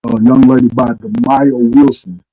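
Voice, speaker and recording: A man's voice: mid-range voice, steady tone, moderate pace, no echo, dull audio. A man, co-hosting a casual sports chat and telling laid-back stories. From Arkansas. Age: 40s. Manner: laid-back storytelling, trails off with "you know what I'm saying". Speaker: co-host.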